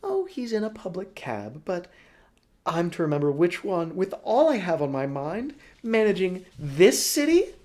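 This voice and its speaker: Storybook city manager, male: campy, snide and teasing, pitched high with big swoops man acting it out. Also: very animated, measured pace, a little mellow. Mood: teasing, fussy. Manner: campy, exclamatory, mocking.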